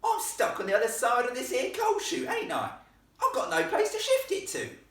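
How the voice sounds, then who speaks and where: A cheeky young ghost boy from a storybook, acted out by a man, with a rough Cockney accent. He sounds uncouth, smug and easily irritated, pitched high and heard from a distance in an echoey room. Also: wildly swooping pitch, normal speed, fairly bright tone, exaggerated voice. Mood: stubborn. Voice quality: rough-edged, uncouth speech.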